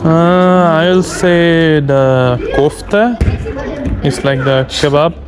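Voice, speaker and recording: A man's voice: fairly high pitch, takes it slowly, crowd noise. A young man with a Bangladeshi accent chatting casually as a travel vlogger, often repeating short phrases. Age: young adult. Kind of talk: casual chat with locals.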